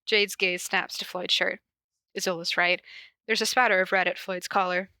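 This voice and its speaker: Bright-voiced, giggly woman reading and chatting. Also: fairly low pitch, flat delivery, moderate pace, no room sound. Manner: giggly, informal, breaks off into asides. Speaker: podcast host and podfic reader. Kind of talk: casual cold reading of fan fiction and chat. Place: corner of a basement at home.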